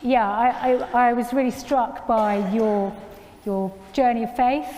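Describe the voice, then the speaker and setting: A woman interviewing a guest on stage, asking gentle, encouraging questions. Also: on the high side, a little slow, muffled recording. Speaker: interviewer at a women's conference. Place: church hall. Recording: echoey hall with faint noise.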